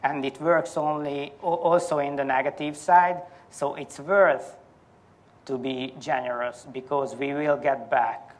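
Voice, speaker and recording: A man in his thirties giving a formal academic presentation in a halting, deliberate manner. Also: medium pitch, steady tone. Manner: halting, careful, deliberate delivery. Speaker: academic conference speaker. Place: indoor university lecture hall.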